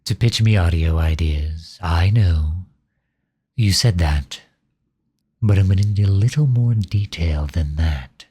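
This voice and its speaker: A man's voice: low-pitched, takes it slowly, dark tone, husky, full character voice. A man acting in an ASMR roleplay as a grumpy, exasperated male creator with a sarcastic, flustered, grudging manner. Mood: grudging, weary.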